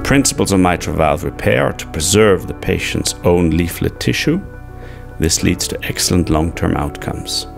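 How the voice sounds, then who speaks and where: A middle-aged man giving a measured, scripted medical explanation as a surgeon and narrator. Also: low voice, vocal fry.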